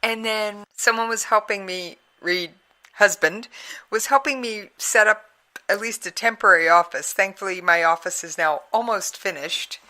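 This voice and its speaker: Chatty woman producer, bright voice. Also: medium pitch, moderate pace. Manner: chatty, laughs while telling stories. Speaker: producer and co-host. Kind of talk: casual radio chat.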